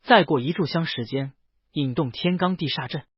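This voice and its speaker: A man voicing a cool, aloof male immortal master who speaks in brief, quiet lines. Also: on the high side, moderate pace, husky, no room sound, highs cut off.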